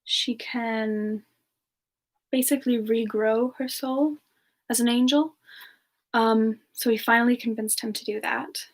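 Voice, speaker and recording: A woman playing a gentle, romantic demon woman, soft-spoken and hesitant, answering questions in a semi-ASMR roleplay. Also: a little high, steady tone, measured pace, pauses often. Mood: wistful, tender. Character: gentle, romantic female demon reborn from a past life. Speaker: streamer answering viewer questions in character.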